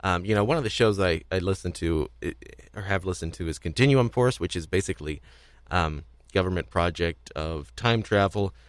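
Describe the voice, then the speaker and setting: Male podcast host. Low pitch, dry close sound, casual rambling delivery with hesitations. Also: expressive, moderate pace, no room sound. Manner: rambling, hesitant, repeats himself.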